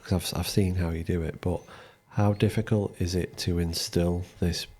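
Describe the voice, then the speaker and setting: Man, podcast host, low voice, mellow, thoughtful, searching questions. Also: steady tone, normal speed. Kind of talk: podcast interview.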